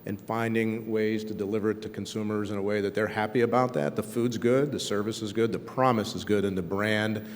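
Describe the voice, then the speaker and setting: A man's voice: lowish voice, fairly even intonation, a little fast, hardly any pauses, in a large hall, a little hiss or hum. A man on a discussion panel, speaking with enthusiasm and praise and repeating his phrases.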